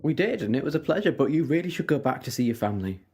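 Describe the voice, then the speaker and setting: A man's voice: medium pitch, big pitch swings, racing, a little mellow, breathy edge. A man playing a kindly, assured time-travelling adventurer in an audio drama, reassuring and breezily understated. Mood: matter-of-fact.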